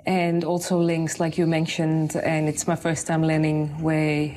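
A woman hosts a community radio interview, her voice set on the lower side. She asks questions in an encouraging way. A faint hum of noise sits behind her. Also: completely flat delivery, measured pace, warm tone. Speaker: radio host.

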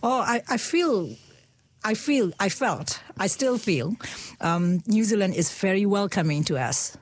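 A middle-aged woman from Myanmar with a Burmese accent, talking in a halting way as a guest in a casual conversation, often repeating her words and laughing softly. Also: mid-range voice, lively intonation, dry recording.

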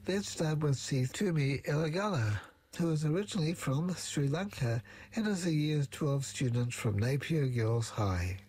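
A man radio presenter reading scripted narration in a plain, even way. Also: fairly high pitch, normal speed, breathy edge.

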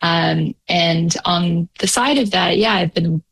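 A woman's voice: on the low side, slow, creaky, a bit bright, slightly rough. A young woman musician from New Zealand with a mixed New Zealand and Canadian accent, chatting casually in an interview with lots of fillers and laughs. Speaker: musician guest.

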